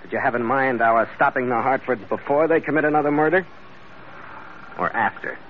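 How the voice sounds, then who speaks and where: A dull, vintage radio recording of a man acting as a tough adventurer captain. His mid-pitched voice is terse and sardonic, in the hardboiled noir style of classic radio. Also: fairly quick, a little hiss or hum, lightly acted. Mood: wry. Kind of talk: 1940s-50s radio noir narration and acting.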